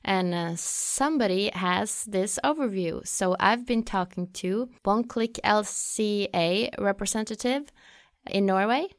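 A woman's voice: medium pitch, unhurried, no echo, studio quality. A woman podcast host, a native Norwegian speaker, interviewing in English with a hesitant, filler-heavy delivery.

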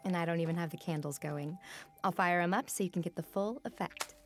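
A woman's voice: on the low side, fairly quick, natural voice. A woman playing a young, alluring tarot reader in a naturalistic audio drama, with a teasing, mystical manner. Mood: calm, mystical. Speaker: actress.

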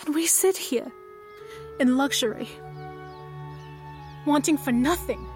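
A woman's voice: high-pitched, slow pace, a little mellow, faint music behind. A woman playing an earnest, reproachful and compassionate young noblewoman in an audio drama, speaking formally and with righteous intensity. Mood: impassioned.

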